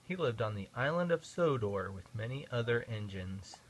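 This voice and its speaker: Man reading a storybook, lively pitch. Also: normal speed, a little hiss or hum. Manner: expressive, sing-song reading. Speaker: father reading to his kids.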